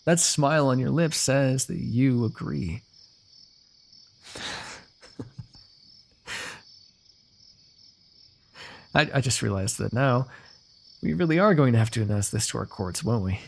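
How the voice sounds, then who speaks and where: A man playing a lovestruck, eloquent fantasy royal in a romantic ASMR roleplay, talking intimately and poetically with gentle teasing. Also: mid-range voice, expressive, moderate pace, vocal fry. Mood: amused, devoted. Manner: intimate, poetic, talkative, softly amused.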